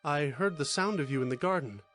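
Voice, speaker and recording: An actor playing a fearful, defensive first man in a dramatized audio Bible, anxious and hurried. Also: on the high side, animated, a bit bright, faint music behind. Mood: afraid.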